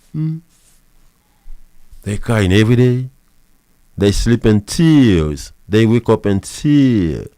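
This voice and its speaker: Male pastor, emphatic and repetitive; mid-pitched, resonant, dry mic. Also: a little mellow, no room sound. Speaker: pastor and marriage counselor. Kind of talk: preachy advice monologue.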